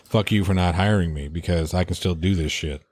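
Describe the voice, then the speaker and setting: A man's voice: low voice, a little fast, a little mellow, dry recording, clean studio sound. A man co-hosting a casual talk about horror films, easygoing and asking leading questions.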